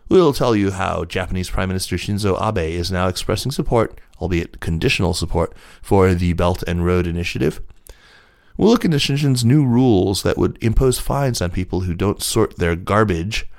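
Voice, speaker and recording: A man's voice: low voice, animated, moderate pace, gravelly, darkish tone, dry recording, clean studio sound. A man hosting a business news podcast, reading scripted stories as narration. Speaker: podcast host and news reader.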